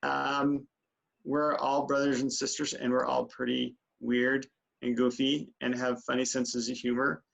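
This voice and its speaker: A man's voice: medium pitch, level tone, unhurried, vocal fry, a bit bright, lo-fi sound. A man in his forties introducing himself and his siblings in an easygoing, joking way with some fillers. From Idaho, USA. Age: 40s. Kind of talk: casual team introduction.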